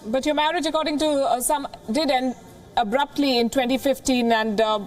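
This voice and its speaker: A woman news anchor reading a scripted report and interviewing a guest, measured and crisp. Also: sits high, steady tone, soft background music. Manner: crisp, measured newsreader delivery.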